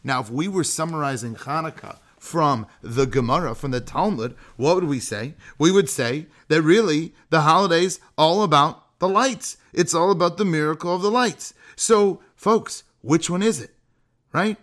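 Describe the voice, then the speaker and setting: A man giving an animated, conversational religious lecture, emphatic, with rhetorical questions and frequent checks on his listeners. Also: fairly high pitch, normal speed.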